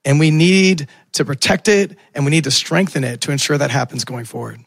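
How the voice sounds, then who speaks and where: A man who is a Baptist minister, speaking as a panelist with a raspy voice from illness, earnest and thoughtful. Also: a little high, lively intonation, a little fast, fairly bright tone, dry recording, clean studio sound. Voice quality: gravelly. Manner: earnest, thoughtful, with small laughs. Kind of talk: panel discussion remarks.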